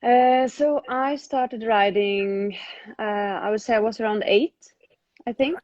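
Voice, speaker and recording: A woman's voice: a little high, a little slow, a little mellow, dry recording, lo-fi sound. Ask for a woman show jumper talking plainly. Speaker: interview guest, show jumper. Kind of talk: live online interview.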